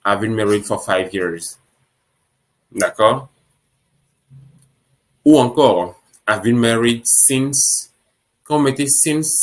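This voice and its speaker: Slow, low-voiced male English teacher. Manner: slow, repeats each phrase in French and English. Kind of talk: French-language English lesson.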